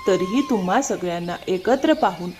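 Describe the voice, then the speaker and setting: A woman playing a warm, concerned village mother in an animated moral story, speaking in an expressive, emotional way. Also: mid-range voice, normal speed, soft background music, natural voice. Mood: warm, touched.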